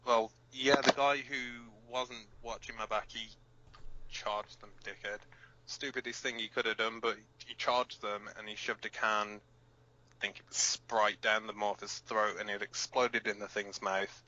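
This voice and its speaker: A man playing a gruff, battle-scarred resistance fighter co-hosting an underground radio broadcast in an audio drama, blunt, profane and halting. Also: on the low side, level tone, sharp tone, a little hiss or hum. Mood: reluctant, halting. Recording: dull lo-fi sound.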